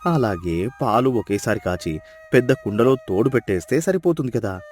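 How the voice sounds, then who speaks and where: A man's voice: on the low side, expressive, normal speed, darkish tone, soft background music, natural voice. A man voicing a miserly, cunning village farmer in a moral story, whining and grumbling with exaggerated excuses. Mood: scheming, grumbling. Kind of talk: dramatised moral story voice acting.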